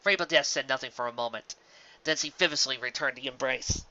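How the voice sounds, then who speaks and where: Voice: mid-range voice, brisk, sharp tone. A narrator reading fan fiction aloud on a dull, close recording.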